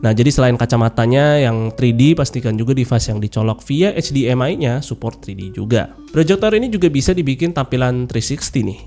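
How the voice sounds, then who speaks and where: A man's voice: mid-range voice, expressive, brisk, hardly any pauses, darkish tone, soft background music. A young man giving a casual product review as a voice-over, his voice nasal and stuffy from a cold, chatty and informal. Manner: chatty and informal, with many filler particles. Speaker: tech reviewer. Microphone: voice-over mic.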